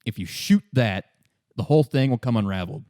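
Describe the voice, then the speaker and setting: A man's voice: medium pitch, expressive, dark tone, dry recording. Casual man narrating a tabletop game, dry sound. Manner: halting, restarts sentences often. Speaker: game master.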